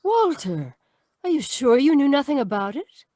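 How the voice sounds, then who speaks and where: An actress playing an earnest, anxious young sweetheart in an old-time radio adventure, speaking dramatically. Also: female voice, sits high, big pitch swings, normal speed, dark tone, no room sound, studio quality.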